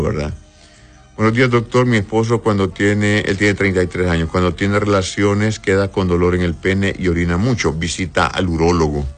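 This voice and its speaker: A man with a very low voice hosts a medical advice show. He reads out listener messages and answers directly, explaining things plainly and often checking with a short tag question. Faint music plays behind him.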